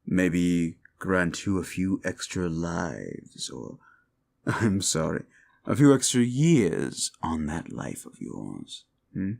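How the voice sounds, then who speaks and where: A man playing a cruel, sneering demon in an ASMR roleplay, sardonic and condescending, with a gravelly voice. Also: low-pitched, very animated, takes it slowly, a little mellow, full character voice. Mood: smug, bargaining. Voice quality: gravelly, creaky. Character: cruel, sneering male demon bargaining for wishes. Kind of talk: scripted ASMR roleplay addressed to the listener.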